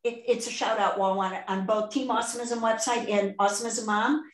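A woman in her sixties with a Chicago accent, hosting a podcast interview in a chatty, animated way. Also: on the high side, fairly quick, roomy sound. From Chicago, USA. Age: 60s. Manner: chatty, animated, laughs often. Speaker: podcast host. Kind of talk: casual interview chat.

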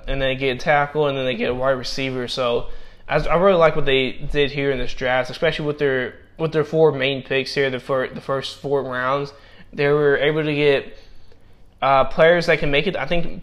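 Young male commentator, mid-pitch, voice going hoarse, halting and full of fillers, casual draft breakdown. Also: a bit bright. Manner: halting, many fillers and restarts. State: losing his voice. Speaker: football draft analyst. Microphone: personal microphone.

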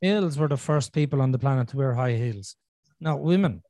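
A man, from Ireland, hosting a podcast and talking in a casual, earnest way, thinking out loud as he goes. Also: mid-range voice, animated, brisk, no room sound, studio quality. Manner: earnest, thinking aloud with restarts and "you know". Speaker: podcast host. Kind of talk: casual conversational podcast chat.

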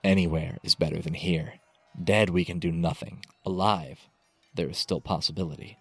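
A man voicing a grave, level-headed scout in a fantasy audiobook, rumbling and thick, speaking in a somber, measured way. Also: steady tone, breathy edge, dry recording. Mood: grim, steady.

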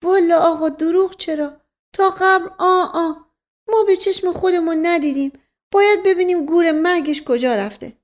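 A woman voicing a slow-witted, evasive male servant in a folksy, colloquial manner for a story. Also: extremely high pitch, pitched above the performer's own voice. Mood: evasive, defensive.

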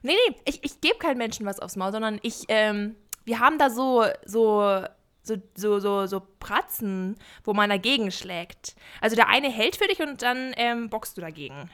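A woman's voice: on the high side, normal speed, fairly bright tone, smooth. A young woman of eighteen from Germany, chatting casually as a podcast co-host, with lots of fillers and giggles. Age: young adult, 18. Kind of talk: casual chat.

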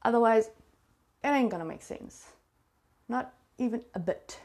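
A woman's voice: on the high side, measured pace, a little mellow. A South African woman whose first language is Afrikaans, telling a true crime story in a chatty, confiding way to her listeners. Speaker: podcast host.

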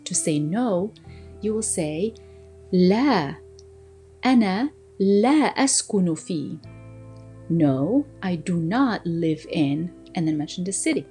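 Lively, mellow-voiced woman teaching phrases. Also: fairly low pitch, faint music behind. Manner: slow, deliberate repetition of phrases for learners; switches between explaining and modelling phrases. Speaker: language teacher. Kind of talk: recorded language lesson.

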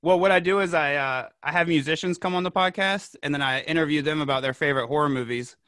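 Fast-talking laid-back podcast host. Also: male voice, a little high, a bit bright, no echo, clean studio sound. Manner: laid-back, full of fillers. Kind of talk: casual chat.

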